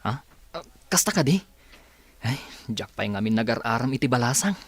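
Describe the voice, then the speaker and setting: A man's voice: medium pitch, expressive, moderate pace, no echo. An actor playing a shy, apologetic young male college student in a radio drama, speaking hesitantly. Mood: bashful, puzzled.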